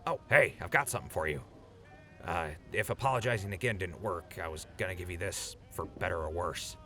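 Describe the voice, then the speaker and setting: A man playing a gruff but awkward, apologetic old buddy in an audio drama, gravelly and hesitant. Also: deep voice, brisk, bright, forceful tone, soft background music. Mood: relieved, fond.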